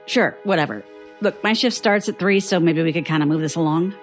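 A woman's voice: on the low side, fairly quick, natural voice. An actress as a curt, sardonic woman over music. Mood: impatient. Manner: curt, exasperated, sarcastic. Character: bitter, impatient, sardonic woman in her thirties, sole survivor. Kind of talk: naturalistic audio drama acting.

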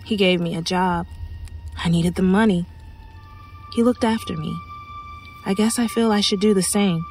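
A woman's voice: medium pitch, normal speed, a little mellow, soft background music, natural voice. An actress playing a guarded, solitary woman in an audio horror drama, dry and sceptical yet curious, hesitant when pressed.

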